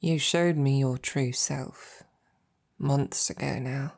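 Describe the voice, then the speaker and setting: A woman playing a devoted, tender and teasing female knight in intimate ASMR roleplay, speaking softly and haltingly, with affection, in a breathy, often whispered voice. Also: extremely low pitch, fairly even intonation, heavily acted. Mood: gentle, probing.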